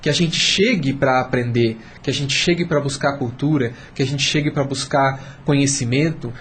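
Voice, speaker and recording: A man's voice: mid-range voice, normal speed, fairly bright tone. A man with a Brazilian accent speaking as a radio panel guest, explaining and urging emphatically, repeating phrases to build his point. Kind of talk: persuasive, explanatory discussion. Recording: clear background noise.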